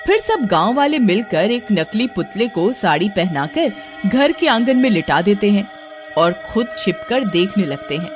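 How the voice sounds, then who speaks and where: A woman's voice: mid-range voice, very animated, a little slow, faint music behind. A woman giving scripted storytelling narration as the narrator of a tale.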